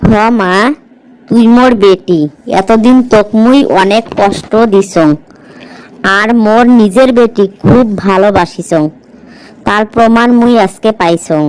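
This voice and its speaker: A village stepmother from a cartoon morality tale, acted by a woman, bossy and plain-spoken. Her voice is clean and smooth at a middling pitch, with a rustic northern Bangladeshi accent. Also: unhurried, darkish tone, natural voice, low-quality recording. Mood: remorseful. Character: harsh, scolding village stepmother who favours her own daughter. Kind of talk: amateur cartoon story voice acting.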